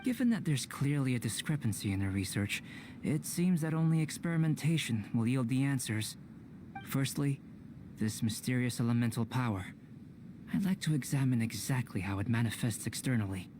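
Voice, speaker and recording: A man playing a calm young alchemist researcher in a video game, speaking in a measured, formal, scholarly way. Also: medium pitch, background noise, natural voice. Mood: detached, methodical. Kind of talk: scripted video game voice acting.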